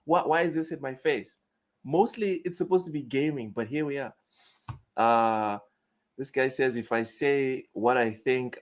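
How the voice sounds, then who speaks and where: A young man from Mozambique with a Southern African accent, chatting casually on a gaming live stream, reading chat aloud and riffing bluntly. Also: mid-range voice.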